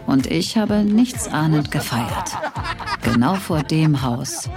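A woman's voice: low-pitched, animated, slow pace, music in the background. An actress playing a murdered older woman who narrates her own death in a wry, scripted crime documentary style. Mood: wry, ominous.

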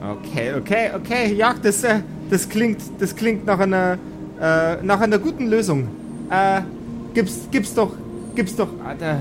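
A man improvising narration as a tabletop game master, halting and repeating his words. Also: sits high, animated. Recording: clearly noisy background ambience.

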